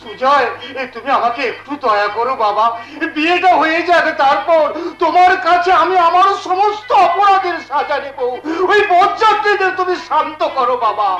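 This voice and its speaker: A man's voice: very high voice, lively intonation, normal speed, hardly any pauses, pushed and bright, exaggerated voice. An actor playing a humble, anguished father of a bride, pleading plaintively in stage melodrama. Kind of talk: melodramatic Bengali folk theatre acting. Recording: dull echoey stage sound, faint music.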